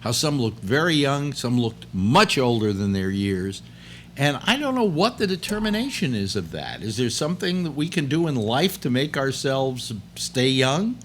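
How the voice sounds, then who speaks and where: A man in his late seventies hosting a casual chat, rambling and halting with many restarts. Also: medium pitch, very animated, fairly bright tone, slight background noise. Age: 70s. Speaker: host.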